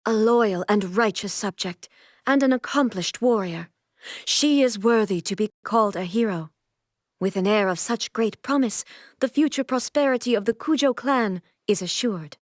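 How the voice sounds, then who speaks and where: A woman's voice: mid-range voice, no echo, clean studio sound, natural voice. A woman playing a stern, regal deity ruler in a video game, speaking in a formal, composed, stately way. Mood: solemn, commanding. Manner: formal, stately, measured delivery. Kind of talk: scripted video game character voice lines.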